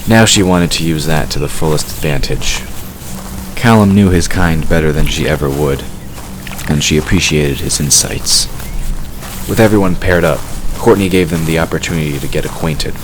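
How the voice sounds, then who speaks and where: Male narrator for fantasy audio drama: exceptionally low voice, scripted storytelling, clear ambient noise behind. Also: normal speed.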